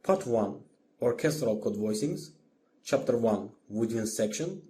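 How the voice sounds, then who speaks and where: A man's voice: on the low side, lively intonation, unhurried, warm tone, slight background noise. A man with an Azerbaijani accent narrates a scripted lecture as a teacher, methodically, in careful short phrases. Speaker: orchestration teacher.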